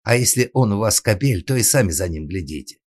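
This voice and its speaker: A man's voice: sits low, lively intonation, a little fast, slightly rough, no room sound, clean studio sound. A man voicing an indignant young maidservant who talks back in a defiant, colloquial, grumbling way in a comic story.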